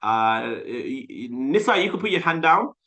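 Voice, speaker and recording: A man with a bright, forceful voice leads a live online sales class over a call. He talks in an animated, loose way, repeating himself and peppering his talk with "like" and "uh". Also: mid-range voice, moderate pace. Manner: animated, repeats phrases, frequent "like" and "uh". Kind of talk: casual live coaching session. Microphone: video call mic.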